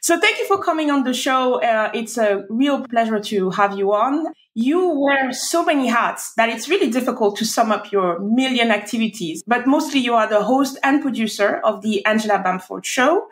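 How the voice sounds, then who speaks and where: A woman hosting a podcast interview, asking polite, measured questions. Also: fairly high pitch, lively intonation. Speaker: podcast host. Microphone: remote recording.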